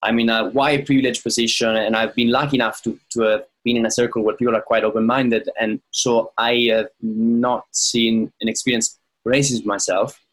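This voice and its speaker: A man's voice: mid-range voice, level tone, normal speed, few pauses, poor audio. A man in casual conversation, speaking thoughtfully and acting out the remarks he quotes. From lives in London. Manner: reflective, acts out quoted remarks.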